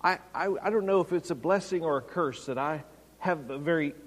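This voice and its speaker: A man's voice: fairly high pitch, brisk, long reverb tail. A man preaching a sermon, explaining scripture and raising his voice when quoting. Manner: expository preaching, raises voice for quoted lines. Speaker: pastor.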